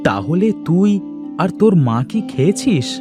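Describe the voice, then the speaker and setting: A man's voice: high-pitched, very animated, moderate pace. A man playing a poor, weary fisherman and tender father in a fairy-tale cartoon, speaking plaintively and expressively. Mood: tender, worried. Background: music.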